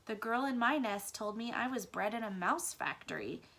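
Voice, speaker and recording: A woman's voice: fairly high pitch, lively intonation, normal speed, bright, forceful tone, full character voice. A woman voicing a timid, earnest male pet mouse with hesitant, formal, plaintive speech in a children's story. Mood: sad, earnest. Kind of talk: children's book read-aloud.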